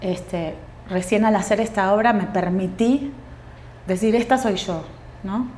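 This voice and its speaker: A woman in her forties with a Uruguayan Spanish accent, a guest actress speaking thoughtfully in an interview, pausing often with fillers and restarts. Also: mid-range voice, darkish tone, echoey room, slight background noise. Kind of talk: reflective interview conversation.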